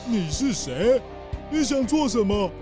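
A woman's voice: on the high side, big pitch swings, unhurried, over music. A woman playing a brave, defiant, protective bear in a children's radio drama.

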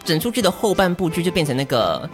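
A woman's voice: on the low side, big pitch swings, fast talker, soft background music. A woman with a Taiwanese Mandarin accent, bantering casually as a podcast co-host in a wry, teasing, mock-earnest manner.

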